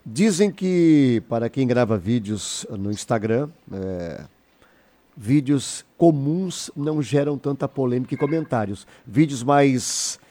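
A man's voice: mid-range voice, animated, slow pace, mellow. A man hosting an interview, asking friendly questions and prompting with short affirmations. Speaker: interviewer, host.

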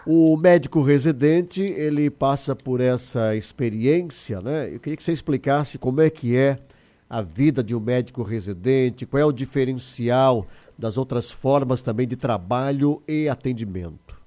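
A man's voice: mid-range voice, moderate pace, warm tone. A man hosting a live news interview on the radio.

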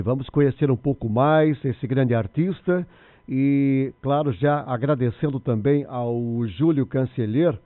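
A man's voice: fairly high pitch, no echo. Effusive male radio host, tinny sound. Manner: effusive, praising. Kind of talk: radio interview.

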